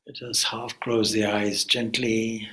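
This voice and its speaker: A man guides a meditation in a fairly low voice with a bright edge. He speaks very slowly and deliberately, as a calm spiritual teacher. Short phrases are set apart by long pauses. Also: rough recording.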